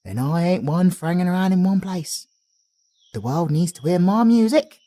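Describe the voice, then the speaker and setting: A man's voice: sits high, lively intonation, normal speed, mellow. A man playing a chatty British travelling bard. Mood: doting. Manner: chatty, doting, slangy. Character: cheerful chatty male travelling bard, young adult. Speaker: audio roleplay performer. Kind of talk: ASMR audio roleplay.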